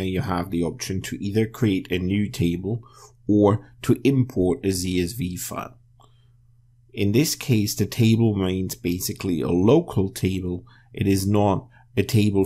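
A man presenting a software tutorial as screencast narration, explaining step by step in a measured way. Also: on the low side.

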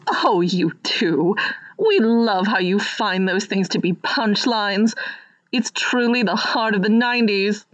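A woman's voice: mid-range voice, slow pace, dull audio, lightly acted. A woman playing a preachy small-town peacemaker with a mock-earnest manner in a comic radio play. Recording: distant from the mic.

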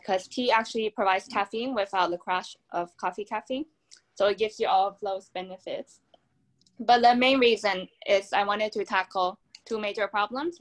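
A young woman from Taiwan, founder of a drink brand, explaining her product upbeat on a video call from a room at home. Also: mid-range voice. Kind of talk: video call panel answer.

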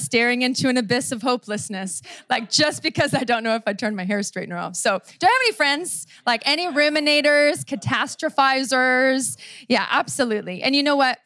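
A woman's voice: fairly high pitch, a bit bright, dry recording. Canadian woman preaching, dry close sound. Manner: conversational and self-deprecating, slows down to read scripture. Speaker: pastor. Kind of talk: sermon. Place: church stage before a seated audience. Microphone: handheld microphone.

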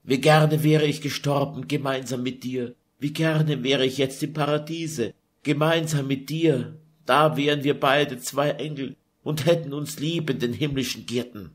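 A man's voice: medium pitch, steady tone, unhurried, pitched above the performer's own voice, natural voice. A man voicing an eighteen-year-old grieving duchess who pleads and laments through sobs. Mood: despairing. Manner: sobbing, pleading, breathy lament.

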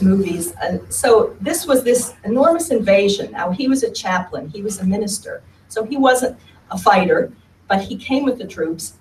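A woman's voice: mid-range voice, darkish tone. A woman giving a conversational talk from a lectern to an audience in a meeting room, often repeating words as she goes. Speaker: speaker giving a history talk. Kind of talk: presentation at a lectern. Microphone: far camera at the back of the room. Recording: roomy hall from far off, faint hum.

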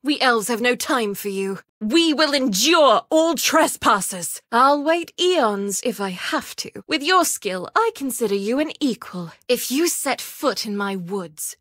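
A proud elf warden of the woods for a fantasy game. Her voice is fairly high and measured, slow and deliberate, with imperious defiance in the phrasing. The sound is dry and close. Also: lively intonation, a bit bright, no room sound. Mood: proud challenge. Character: proud, fierce elf woman, warden of an ancient forest. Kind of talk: video game character voice lines. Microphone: close studio mic.

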